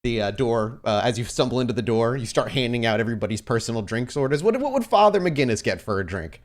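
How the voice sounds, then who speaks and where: A man's voice: medium pitch, animated, quick pace. A man running a tabletop role-playing game, narrating and improvising in a loose, conversational, amused way. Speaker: game master.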